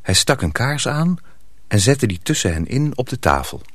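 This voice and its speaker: An actor as the storyteller of a radio drama, narrating a dark folk tale in a measured, scripted way. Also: male voice, mid-range voice, sing-song, no echo.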